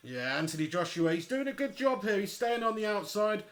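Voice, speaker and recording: A man giving chatty, excitable live commentary on a boxing match and talking straight to his viewers. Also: sits high, brisk. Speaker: livestream fight commentator.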